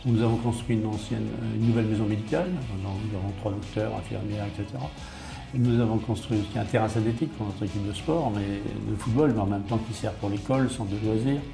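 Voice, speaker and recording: Male mayor in interview, gravelly low voice, explanatory and enumerating, roomy recording with guitar music behind. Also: fairly even intonation, fast talker. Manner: matter-of-fact, enumerating, repeats and rephrases. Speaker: small-town mayor being interviewed. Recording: roomy, echoey sound under guitar music.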